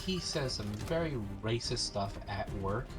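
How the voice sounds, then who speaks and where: A man's voice: medium pitch, animated, measured pace. A bilingual Spanish and English speaking man telling stories in casual chat, swearing freely. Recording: loud game music behind voice. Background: video game music, gunfire.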